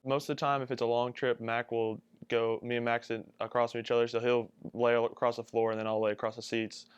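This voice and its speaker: A man's voice: mid-range voice, level tone, a little fast, clear, resonant tone, no room sound, highs cut off. A young man, a college athlete, answering interview questions casually. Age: young adult. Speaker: college basketball player.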